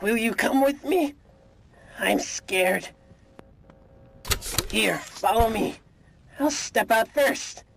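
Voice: medium pitch, extremely slow, gravelly, long pauses. An actor voicing a cool, laid-back cartoon chicken toy who is secretly timid. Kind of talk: cartoon toy character voice lines. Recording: noisy recording with clicks.

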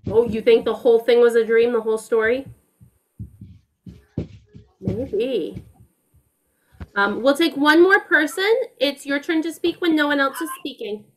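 A woman's voice: a little high, a little slow, long pauses, rough recording. A woman teacher reading a storybook to young children and asking them questions in an encouraging, teacherly way. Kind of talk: storybook read-aloud with questions to a group of children.

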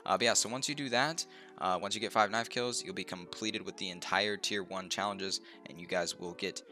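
A young man with a deep, low voice that sounds stuffed up and a little nasal. His tone is bright and casual, full of ums and uhs as he talks into a desk mic. Faint music plays behind him. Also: a little fast. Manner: relaxed, rambling, lots of ums and uhs. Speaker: gaming youtuber. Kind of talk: casual game walkthrough. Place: home room, indoors.

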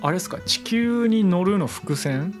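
A man's voice: high voice, expressive, measured pace, over music. A young man from Kansai, co-hosting an internet radio show with casual, rambling chat and playful improvised skits. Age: young adult. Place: small indoor studio room. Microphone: desk microphone with headphones.

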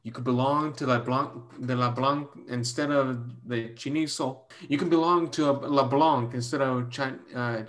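A man's voice: mid-range voice, steady tone, unhurried, hardly any pauses, fairly bright tone, lightly acted. Man playing an insinuating, coaxing flirt. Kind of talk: dramatic dialogue in a play reading. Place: indoors at home on a video call.

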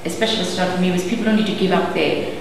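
Brief: woman, forties, lowish voice, measured, recorded far off in an echoey room. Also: creaky. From South Africa. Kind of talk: interview answers. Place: room indoors. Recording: echoey room, distant mic, hiss.

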